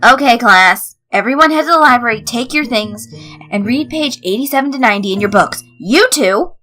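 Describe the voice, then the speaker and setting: Woman doing strict lady teacher, curt and bossy, sharp voice, story reading. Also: fairly high pitch, expressive, moderate pace, faint music behind, voice pushed up. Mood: stern. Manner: curt, commanding. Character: stern, snappish female schoolteacher.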